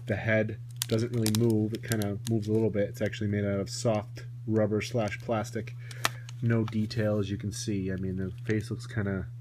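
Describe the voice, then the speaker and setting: Low-voiced man, rambling, with noisy hum. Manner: rambling, self-correcting asides. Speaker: toy collector reviewing a vintage toy. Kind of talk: casual hands-on video review. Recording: noisy home recording with electrical hum.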